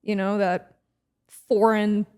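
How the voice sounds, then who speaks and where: A woman in her twenties, from Israel, co-hosts a casual podcast chat. Her voice sits at a middle pitch, and she talks in a relaxed, chatty way full of fillers. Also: takes it slowly, clear, resonant tone. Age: 20s. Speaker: podcast co-host.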